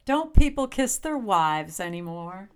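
A woman's voice: medium pitch, sing-song, a little slow, a little mellow, clear, resonant tone. An actress playing a possessive, sly witch wife in a radio drama, with a commanding, teasing, coolly menacing manner. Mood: coy, teasing. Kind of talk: old-time radio drama acting.

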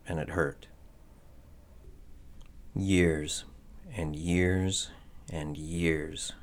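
A henpecked, ageless husband of a witch in old-time radio drama. His low-pitched voice has a wry, ruminative storyteller's manner, easily flustered around his wife.

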